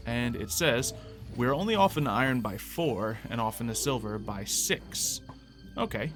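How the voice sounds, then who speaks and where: Man thinking aloud over game music. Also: medium pitch. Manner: thinks aloud, explains step by step. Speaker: gaming video host. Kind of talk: gameplay commentary. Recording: music playing under the voice. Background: background music.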